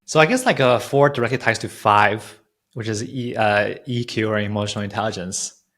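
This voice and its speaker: A man's voice: fairly low pitch, normal speed, fairly bright tone. A man hosting an interview in a casual, informal way, thinking aloud and repeating words as he goes. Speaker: podcast host, interviewer.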